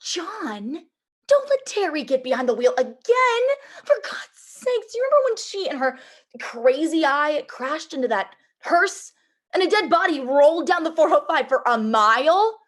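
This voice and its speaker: An actress plays a sharp-tongued Hollywood assistant, a woman worn down by the job. Her voice sits fairly high. The lines come brash and wry, as in a comedy script read. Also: very animated, a little slow. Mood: exasperated, scolding. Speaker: actor in a screenplay table read. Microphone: video call.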